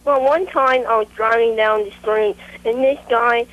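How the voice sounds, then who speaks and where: A woman's voice: on the high side, fairly even intonation, moderate pace. A woman making a phone call, chatting casually with naive, childlike wording. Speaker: prank caller. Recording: telephone call.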